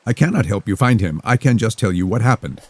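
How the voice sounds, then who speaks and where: A man voicing a calm, mysterious young woman who speaks formally and rhythmically. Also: fairly low pitch, slightly rough, exaggerated voice. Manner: formal, rhythmic, unhurried delivery.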